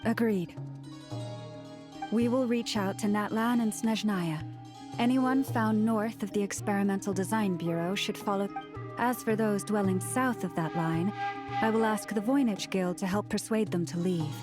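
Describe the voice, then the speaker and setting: An actress playing a serene, quietly playful leader in a video game, measured and polite, with music underneath. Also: female voice, mid-range voice, close to an everyday voice. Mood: composed.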